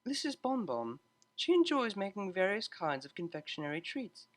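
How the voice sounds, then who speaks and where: A woman's voice: mid-range voice, very animated, moderate pace, voice pushed up. A woman voicing a refined, haughty mare in a pony story, speaking formally and precisely with a condescending edge. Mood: composed. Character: refined, haughty gray mare, cellist, prim and cutting.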